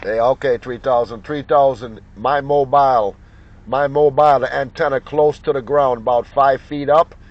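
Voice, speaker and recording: A man's voice: mid-range voice, fairly even intonation, normal speed. A man working a CB radio as operator, repeating call signs in slangy, folksy, joking banter. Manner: repetitive, jocular, folksy banter. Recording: muffled lo-fi sound with loud radio noise, clicks. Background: CB radio noise and clicks.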